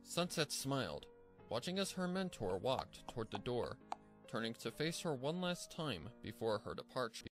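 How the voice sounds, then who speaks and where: A man reading scripted story narration in an expressive storytelling manner. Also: medium pitch, moderate pace, soft background music.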